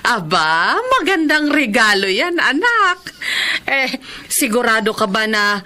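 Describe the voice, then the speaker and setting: A woman playing a caring, pious, older Filipino mother who gently teases, in a radio drama. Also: a little high, very animated, slow, full character voice. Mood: warm, teasing.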